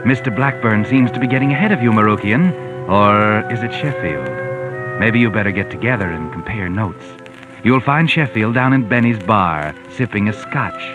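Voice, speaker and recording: An actor playing a sardonic, all-knowing narrator of a 1940s radio mystery, mocking and ominous, with theatrical drawn-out phrasing. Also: male voice, lowish voice, expressive, close to an everyday voice. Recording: dull vintage radio recording, music behind. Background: music.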